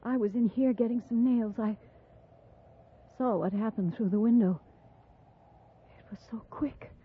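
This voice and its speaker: An actress playing a stoic, grieving frontier mother in her thirties in a 1950s radio drama, solemn and halting, with a breathy edge. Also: a little high. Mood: grief-stricken. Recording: muffled vintage 1950s radio recording.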